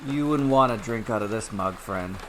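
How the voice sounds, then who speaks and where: A man voicing a weary, cursed traveller who speaks calmly in a low, quiet, measured way. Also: slight background noise, close to an everyday voice. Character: calm weary male traveller, cursed wanderer.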